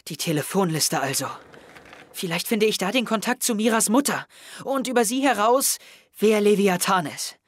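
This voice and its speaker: A man's voice: high-pitched, animated, normal speed, no echo. A young man playing an anxious teenage schoolboy detective in an audio drama, intense and confiding. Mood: surprised, urgent. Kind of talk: German audio drama acting, close narration.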